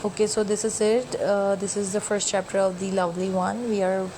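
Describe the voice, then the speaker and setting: Woman reading aloud in English, first language Urdu, halting and self-correcting, middle-pitched voice, steady background noise with passing vehicles. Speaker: YouTuber reading an interactive story. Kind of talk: reading an interactive story aloud.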